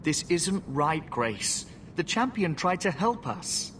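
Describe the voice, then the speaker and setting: A man's voice: fairly high pitch, sing-song, slow, noisy background. A man playing a kindhearted, remorseful young mage in a video game, hesitant and earnest. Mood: alarmed protest. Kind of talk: dramatic video game acting.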